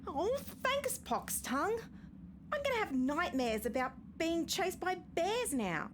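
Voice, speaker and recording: A woman's voice: very high voice, very animated, measured pace, noisy background, heavily acted. A woman playing a timid, childlike horse of Death in a comic radio play, anxious, hesitant and innocent. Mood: timid, fretful.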